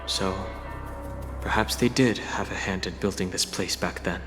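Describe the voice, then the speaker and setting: An actor voicing a calm, observant young male traveler in a video game, reserved and thoughtful. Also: sits low, normal speed. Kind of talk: scripted video game dialogue. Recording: long hall reverb, music behind.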